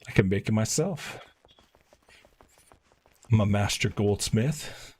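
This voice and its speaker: A man livestreaming a game, chatting casually with viewers in a slightly husky voice. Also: on the low side, takes it slowly, a little mellow, a little hiss or hum. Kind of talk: casual livestream chat and reading game dialogue aloud.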